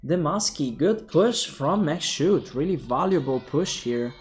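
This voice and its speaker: A young man with an Italian accent casting a mobile game tournament alone, calling plays quickly and thinking aloud to viewers. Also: on the high side, lively intonation. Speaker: esports caster. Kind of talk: solo esports play-by-play commentary.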